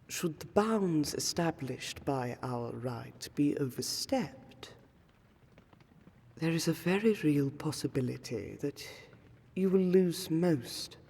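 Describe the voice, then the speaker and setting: A woman playing an aristocratic vampire scholar in a fantasy audio roleplay, speaking formally and deliberately with weighty pauses. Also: low voice, darkish tone, husky, exaggerated voice. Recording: long hall-like reverb under prominent music.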